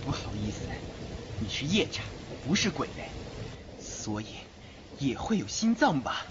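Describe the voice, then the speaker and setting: A male actor playing a brash, fiercely protective teenage boy exorcist in an audio drama, expressive and dramatic. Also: mid-range voice, a little slow, highs cut off, noisy background. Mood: coolly smug. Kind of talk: Chinese audio drama acting.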